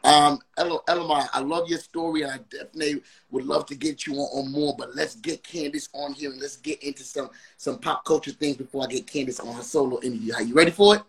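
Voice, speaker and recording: A man from Brooklyn hosting a live music interview show, energetic and hyping up his audience. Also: fairly high pitch, fast talker, few pauses, poor audio. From Brooklyn, New York. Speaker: livestream interview host.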